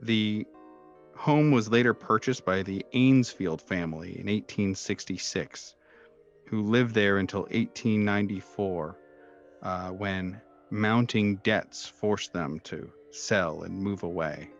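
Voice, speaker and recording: A man acting as game master, narrating a tabletop horror mystery in a halting, hesitant way. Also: on the low side. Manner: halting, hesitant delivery with frequent long hesitations. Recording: dull lo-fi sound, music behind. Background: background music.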